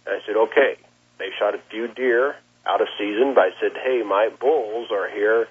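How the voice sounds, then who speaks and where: A plain-spoken rancher, a man, talks in a rough, husky voice. He gives his account conversationally, stopping and repeating words as he goes. The sound is narrow and muffled, like a lo-fi phone call. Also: lowish voice, normal speed. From Nebraska, United States. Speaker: cattle rancher being interviewed. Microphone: telephone. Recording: muffled, lo-fi phone interview line.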